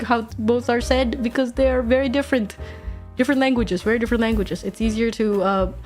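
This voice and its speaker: A young woman from Indonesia, streaming a casual chat, swearing freely, laughing often and answering her chat. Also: on the high side.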